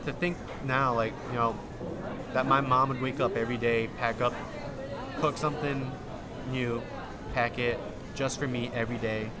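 A young adult man with an American accent, telling a personal story in a casual, halting, reflective way. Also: mid-range voice. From Indonesia and Illinois, United States. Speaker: documentary interviewee. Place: busy food hall, indoors. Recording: loud busy restaurant crowd noise. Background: loud crowd chatter.

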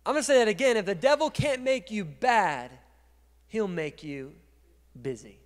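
Male pastor, 30s, higher register, energetic sermon delivery into a handheld mic, echoing church hall. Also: normal speed. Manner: energetic preaching, gesturing and moving on stage, swings from quiet to loud. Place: large dark church stage. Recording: long reverb of a large church hall.

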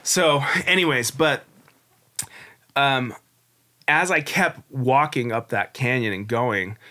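A man's voice: mid-range voice, takes it slowly, bright, forceful tone. A man in his forties, a guest on a podcast, telling his story in a candid, reflective, rambling way. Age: 40s. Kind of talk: casual interview storytelling.

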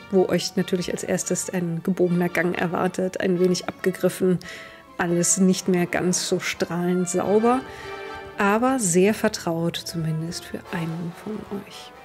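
A woman's voice: fairly low pitch, measured pace, darkish tone, soft background music. A young adult woman narrating a tabletop role-playing game as game master, in a vivid, descriptive way.

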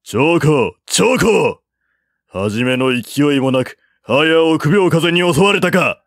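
Male narrator as haughty enemy general, storybook epic, mocking declamation, raised pitch, dry sound. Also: animated, takes it slowly, dry recording. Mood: scornful. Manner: goading, declamatory. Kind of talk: dramatic audiobook character reading.

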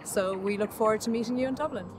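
A woman's voice: on the high side, quick pace. A woman working as a marketing coordinator, speaking in an upbeat, scripted way for a promotional video. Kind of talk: promotional talking-head. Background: background music.

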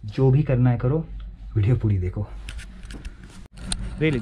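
A man's voice: medium pitch, warm tone. A young man vlogging his train trip to camera, chatty and mixing Hindi with English phrases, filmed on a handheld camera at arm's length on a railway platform and in a coach. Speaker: travel vlogger. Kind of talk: casual vlog narration to camera. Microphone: handheld action camera at arm's length. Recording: noisy station ambience.

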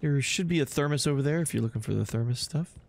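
A man's voice: a little high, fast talker, creaky, darkish tone. A man giving casual, chatty, informal commentary while playing a video game. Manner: laid-back, chatty, informal. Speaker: gameplay video host. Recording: game sounds under the voice. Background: video game ambience and effects.

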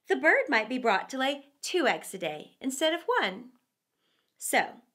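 A middle-aged woman reading aloud to children as a storyteller, with theatrical, deliberate phrasing. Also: on the high side, bright, forceful tone. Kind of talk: expressive read-aloud for children.

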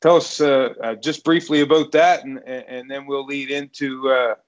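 A man's voice: medium pitch, steady tone, moderate pace. A man of about forty, chatting casually as the host of an interview. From Canada. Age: around 40. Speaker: podcast host and fishing guide. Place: indoor room, remote video call.

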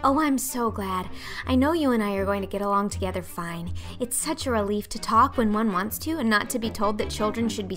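A woman's voice: a little high, a bit bright. Woman as an effusive, chattering little orphan girl. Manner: effusive, earnest, theatrical. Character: talkative, dramatic, dreamy little orphan girl. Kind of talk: audiobook character acting.

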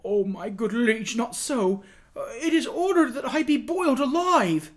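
A condemned prisoner from a historical storybook, as a male reader acts him out. The voice is pushed high and loud, imploring and terrified. He pleads in broken phrases, abject before his king. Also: animated, measured pace. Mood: desperate. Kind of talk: dramatized audiobook reading.

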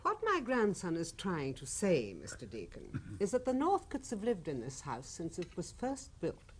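A woman's voice: fairly low pitch, huge pitch swings, normal speed, slightly rough, exaggerated voice. An actress playing a haughty, secretive grandmother with an English accent, formal and commanding, in a radio drama. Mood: haughty, evasive. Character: imperious, secretive old English grandmother, lady of the manor. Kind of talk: 1970s radio drama acting.

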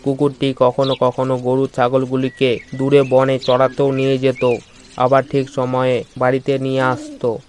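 A man's voice: mid-range voice, completely flat delivery, normal speed. A man narrating a scripted children's story as its storyteller. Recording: loud background noise, animal sounds.

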